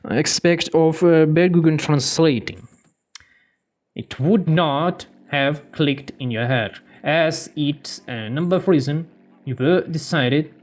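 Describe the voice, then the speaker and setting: A man hosting a gaming channel, recapping a game's story in halting non-native English and breaking off for joking asides. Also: a little high. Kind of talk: game story recap with commentary.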